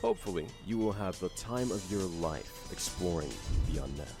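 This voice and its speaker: A low-voiced man narrates a game trailer from a script. His delivery is measured and presenterly but lively in intonation, with music clearly playing underneath.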